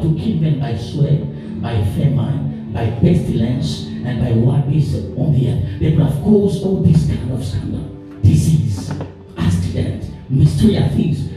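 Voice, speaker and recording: A pastor delivers a sermon in a rolling, repetitive cadence, stacking promise upon promise as his voice climbs. He is heard from some distance in a very reverberant hall, with music playing clearly behind him. His voice sits somewhat high for a man. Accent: African English. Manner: preaching with rising declarations, repeated phrases. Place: church hall. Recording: echoey hall from far off with music.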